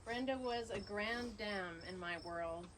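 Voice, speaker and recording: A woman who cared for the deceased, giving unscripted memorial remarks in a hesitant, halting way with restarts. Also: medium pitch, fairly bright tone, a little hiss or hum. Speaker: caregiver speaking at a memorial. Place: outdoors at a home on an island.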